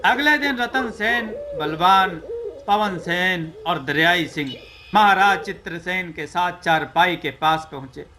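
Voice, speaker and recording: A man narrating a scripted folk tale in a measured, declamatory storyteller's way. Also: sits high, animated, sharp tone.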